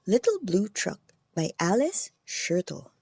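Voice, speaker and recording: A woman narrating a children's picture book aloud with expressive, deliberate phrasing. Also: fairly low pitch, creaky, husky, no echo, clean studio sound. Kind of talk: animated storybook read-aloud.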